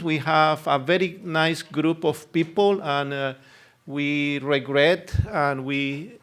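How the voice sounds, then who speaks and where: A middle-aged man with a strong Colombian Spanish accent, a native Spanish speaker, delivering a conference lecture as a neurologist. His voice sits somewhat high for a man. He speaks earnestly and haltingly, pausing often on filler sounds as he works through his points. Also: fairly bright tone.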